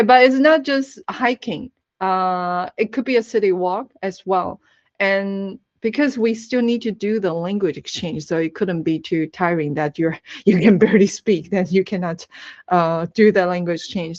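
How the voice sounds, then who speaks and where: A woman's voice: medium pitch, normal speed, dry recording. Taiwanese-accented woman on a dull video call. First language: Taiwanese Hokkien. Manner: earnest, laughs often, frequent fillers. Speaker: webinar guest speaker. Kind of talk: presenting in a webinar.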